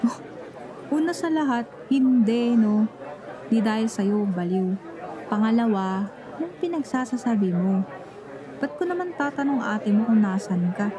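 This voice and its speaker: A woman's voice: on the high side, animated, a little slow, long pauses, crowd noise. A young woman acting a grumpy, jealous friend who feigns nonchalance in an ASMR roleplay, pouty and defensive, slipping casual English into her talk. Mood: irritated. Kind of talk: Tagalog ASMR roleplay acting, one-sided conversation.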